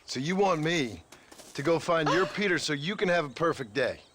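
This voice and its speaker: An actor playing a laconic, dryly polite American cowboy gunslinger in a western video game. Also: male voice, fairly high pitch, animated, normal speed, background noise, natural voice.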